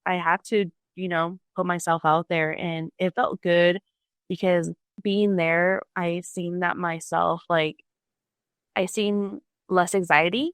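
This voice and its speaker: An earnest young woman recounts her experience in a casual, open way. Her voice is low-set and smooth. The recording is close and dry. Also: steady tone, takes it slowly, no room sound. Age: young adult. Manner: earnest, open, many "like" fillers. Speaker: community college student. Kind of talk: casual personal story.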